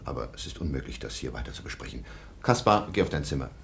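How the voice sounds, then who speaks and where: Deep-voiced, stern, proud baron. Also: male voice, lively intonation, vocal fry, fairly bright tone, heavily acted. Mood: concerned, reproachful. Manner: formal, measured, indignant courtesy. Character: stern, proud Nuremberg baron and anxious guardian. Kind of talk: German radio drama acting.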